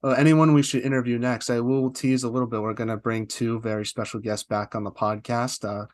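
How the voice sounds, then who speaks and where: A man's voice: medium pitch, little pitch movement, fairly quick, no echo. A man hosting an interview podcast, asking long questions in a talkative way with frequent "uh" fillers. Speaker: podcast host.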